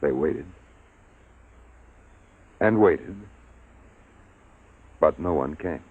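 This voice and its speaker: A man narrating a 1950s radio drama in a measured, dramatic way. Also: extremely low pitch, expressive, background noise. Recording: muffled phone-like old radio recording. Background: dramatic music.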